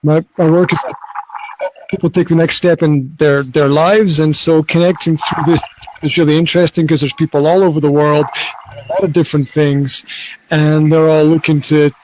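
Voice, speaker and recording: A man's voice: on the high side, normal speed, slight background noise, poor audio. A middle-aged man chatting casually as a podcast host, rambling with restarts and acted-out quotes, talking into a phone on his balcony. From Scotland. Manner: relaxed, rambling, with frequent restarts and quoted asides. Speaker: podcast host and business coach. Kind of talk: casual conversation over an app. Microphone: mobile phone.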